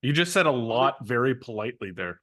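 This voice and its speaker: A man's voice: medium pitch, sing-song, fairly quick, a bit bright. A man co-hosting a comedy podcast, making dry, teasing remarks at his co-host. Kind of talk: casual comedic chat.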